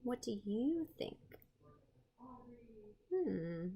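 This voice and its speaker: A woman hosting an art read-aloud, expressive and playful, with chatty, self-deprecating asides to her viewers. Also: on the high side, drawn-out words, creaky, husky. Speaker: host, art teacher reading a picture book aloud.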